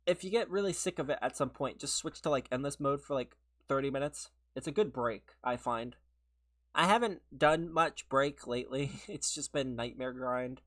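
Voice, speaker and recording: Sniffly higher-voiced man streaming a game. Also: a bit bright. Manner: chatty and rambling, laughs often, answers chat. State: sick, sniffly, voice a little worn. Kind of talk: casual livestream chat while gaming, replying to viewers.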